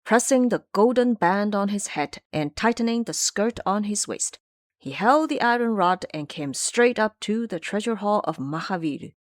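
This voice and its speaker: Animated woman narrator, dry close recording. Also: medium pitch, normal speed, no echo. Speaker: narrator of an audio drama. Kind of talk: scripted dramatic storytelling.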